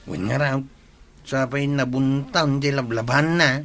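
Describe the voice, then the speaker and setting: A man's voice: mid-range voice, a little slow, fairly bright tone. A man playing a cheeky, curious village nephew in an Ilocano Filipino comedy drama. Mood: teasing. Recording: clear background ambient noise.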